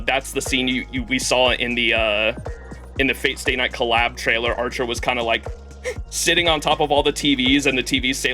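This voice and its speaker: A man with a bright, forward voice talks through a live game stream. He explains things in a rambling, enthusiastic, casual way and swears now and then. Game music plays softly behind him. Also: mid-range voice.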